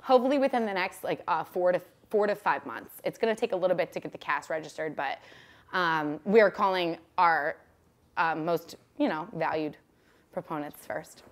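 A woman's voice: fairly low pitch, normal speed. A woman in her twenties improvising a businesslike prank call as a casting producer, recorded in a living room. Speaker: prank caller.

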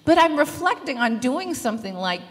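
A woman's voice: high-pitched, moderate pace. Woman pastor in her 30s preaching, jokes around, handheld mic, long church echo. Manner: lively, gestures broadly, jokes and laughs with the congregation. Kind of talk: sermon. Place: church sanctuary. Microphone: handheld microphone. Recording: long reverb of a church hall.

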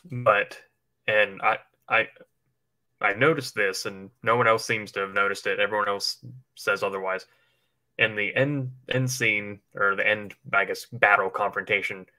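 Bright-voiced man with a low pitch. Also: poor audio. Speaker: livestream co-host. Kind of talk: casual chat speculating about films.

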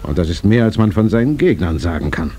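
An actor playing a determined, visionary older gentleman in a radio play, speaking in a measured, resolute way. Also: sits low, very animated. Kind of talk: dubbed-style radio play acting. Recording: faint noise on cassette transfer.

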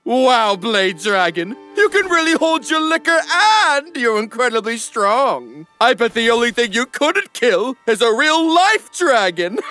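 Guy doing a tipsy rich anime noble, jolly and teasing, swoopy high voice. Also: sing-song, takes it slowly, sharp tone, faint music behind, exaggerated voice. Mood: merry. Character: jolly, tipsy, taunting middle-aged nobleman. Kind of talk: anime parody.

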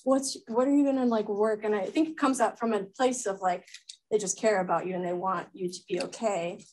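A woman's voice: mid-range voice, fairly even intonation, fairly quick, darkish tone, poor audio. A Belgian woman, an Olympic triathlete, speaking as a guest and answering questions in a casual, chatty way full of "like". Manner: chatty, filler-heavy, many "like"s.

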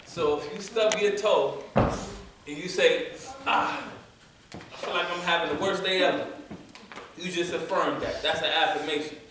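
A young man runs a youth poetry workshop, his somewhat high voice casual and encouraging as he repeats lines slowly. He is picked up by a camera far across a hard-walled room. The sound is very echoey, with heavy background noise. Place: large community room with hard walls.

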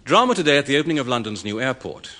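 An actor playing a composed British radio newsreader, giving a formal, deadpan scripted bulletin. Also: male voice, medium pitch, racing, close to an everyday voice. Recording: faintly noisy old radio recording.

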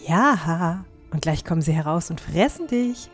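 Woman playing a low-voiced plucky young dwarf huntress. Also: lively intonation, a little slow, soft background music. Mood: teasing. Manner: down-to-earth, matter-of-fact, teasing. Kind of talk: fan-made fantasy audio drama.

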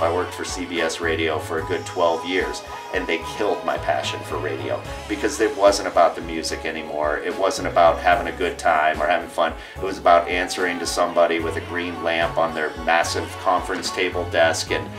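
A man in his thirties speaks in a low voice, recorded a little way from the mic. He talks as a radio staffer being interviewed for a documentary, with faint music behind him. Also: far from the mic. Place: indoors on a staircase.

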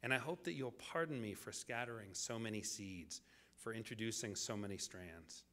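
A man delivering a scripted public lecture as the lecturer, in deliberate, measured phrases with dramatic pauses. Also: lowish voice, fairly bright tone.

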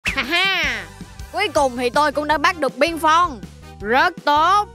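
A woman's voice: sits high, lively intonation, unhurried, pushed and bright, faint music behind, full character voice. A woman voicing a mischievous, gloating pirate monkey in a children's cartoon, swaggering and gleeful. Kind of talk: children's cartoon villain acting.

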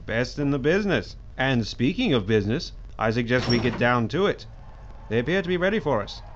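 A man's voice: mid-range voice, sing-song, fairly quick. A man acting a slick, flirtatious, self-assured boss in an audio drama. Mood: upbeat, confident. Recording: dull, slightly noisy recording.

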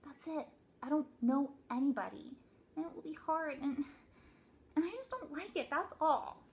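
A woman's voice: high-pitched, expressive, moderate pace, darkish tone, slight background noise, pitched above the performer's own voice. A woman voicing a reluctant, whiny woman who dreads school, in a storybook reading. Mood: whiny protest. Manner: stubborn, complaining.

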